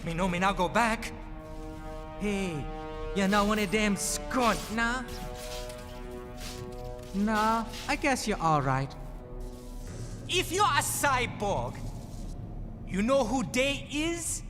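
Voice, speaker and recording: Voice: mid-range voice, very animated, takes it slowly, pauses often, long reverb tail, over music. An actor playing a scrappy, streetwise Guyanese boy in a video game cutscene, talking in brash, salty Creole slang. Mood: defiant, suspicious.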